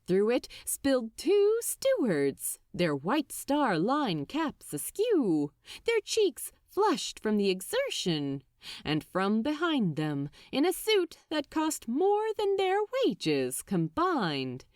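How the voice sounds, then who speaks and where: A woman narrates a story in an expressive, dramatic style. Her fairly high voice moves through very wide pitch swings at a slow, deliberate pace. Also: no echo, clean studio sound. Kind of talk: expressive scripted fiction narration.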